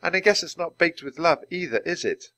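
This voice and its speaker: A man's voice: expressive, a little fast, no room sound. A man doing an eager, chirpy young girl. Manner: bright, chirpy, high-pitched.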